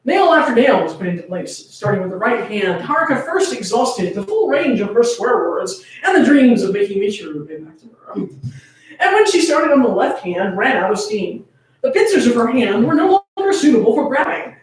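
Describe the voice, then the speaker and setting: A woman's voice: on the high side, very animated, normal speed. A woman giving a dramatic, comedic reading of fan fiction, theatrical and prone to amusement. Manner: theatrical, often breaking into laughter. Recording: very echoey room, far from mic.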